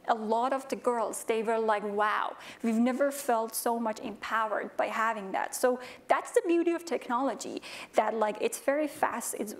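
A woman in her thirties from Afghanistan, answering on a conference panel in earnest, with many fillers, on a lapel mic. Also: fairly high pitch. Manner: earnest, many fillers, non-native phrasing. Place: large conference stage.

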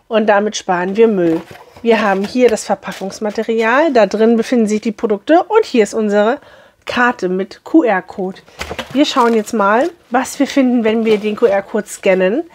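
Hoarse woman in her 30s, a bit high voice, chatty unboxing vlog in a little room. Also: a little mellow. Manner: chatty, cheerful, talks straight to viewers. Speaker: beauty box unboxing vlogger. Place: small room indoors.

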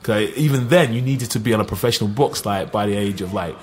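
A middle-aged man with an East London accent, telling lively stories as a podcast guest, full of "you know what I mean". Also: on the low side, fast talker. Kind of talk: casual storytelling.

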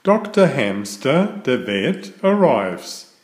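A man reading a picture book aloud to young children in a deliberate, emphatic storytelling style. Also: medium pitch, very animated, reverberant.

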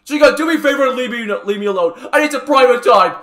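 A man's voice: a little high, animated, moderate pace, voice pushed down. A man voicing an injured, long-suffering animatronic bear in an amateur cartoon skit, whiny and dramatic. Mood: exasperated. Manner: whiny, dramatic, prone to yelling.

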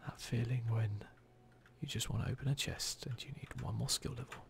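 A man hosting an ASMR video game playthrough, commenting in a hushed voice that drifts between soft speech and whispering, close to the microphone. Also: medium pitch, steady tone, brisk, gravelly. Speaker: gaming video host.

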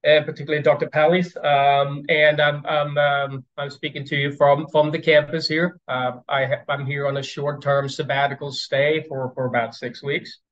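Man, 50s, from Sweden, keynote lecture, halting with fillers, slightly high voice, muffled video-call audio. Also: fairly bright tone. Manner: hesitant, many fillers and repeated words. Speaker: plenary speaker. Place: indoors at home, stairs behind. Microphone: video call webcam.

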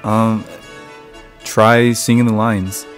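A man's voice: on the low side, level tone, slow, soft background music. A man playing an awkward, guarded teenage tough guy in a radio play. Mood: helpful, shy.

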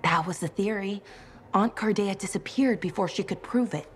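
A woman's voice: medium pitch, normal speed, slight background noise, lightly acted. A woman playing an impulsive, eager young adventurer in a video game, speaking expressively. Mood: earnest.

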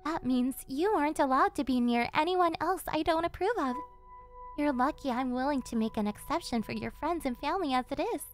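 A woman's voice: high-pitched, fairly quick, soft background music, lightly acted. A woman playing an obsessive, possessive young yandere girlfriend in an ASMR roleplay, with a cloying, coaxing manner that carries a sweet menace. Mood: quietly threatening. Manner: cloying, coaxing, with breathy giggles and gasps.

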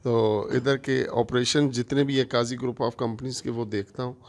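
Middle-aged man, gravelly voice, plain mid pitch, lists stuff one by one into a handheld mic, conference room. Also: normal speed. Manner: steady, listing items one by one. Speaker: company operations manager. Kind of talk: formal introductory speech.

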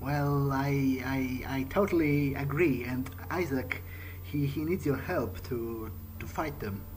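A man's voice: medium pitch, slow pace, a little hiss or hum. A man improvising in character during a video game, hesitant and stammering, often repeating words, with game ambience behind him. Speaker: gaming video host playing in character.

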